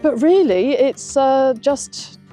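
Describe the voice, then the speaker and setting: A woman's voice: high voice, expressive, slow pace, mellow, faint music behind. A woman chatting casually and enthusiastically outdoors on a river foreshore, as a guide to her hobby. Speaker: mudlarker and artist, guest guide.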